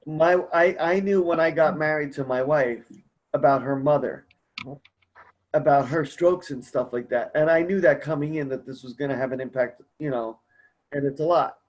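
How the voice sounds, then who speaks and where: A man's voice: fairly high pitch, low-quality recording. A man speaking casually as host of a support group conference call, earnest, encouraging and a bit rambling.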